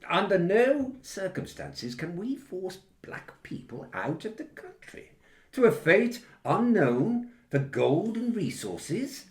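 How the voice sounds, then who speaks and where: An actor in a satirical radio play as a scheming, jingoistic senior government strategist, pompous, persuasive and calculating. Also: male voice, fairly high pitch, sharp tone, heavily acted. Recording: roomy, echoing interior.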